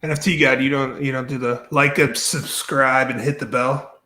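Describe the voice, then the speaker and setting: A man's voice: mid-range voice, fairly even intonation, moderate pace, sharp tone. A man chatting casually as a co-host on a podcast. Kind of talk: casual chat.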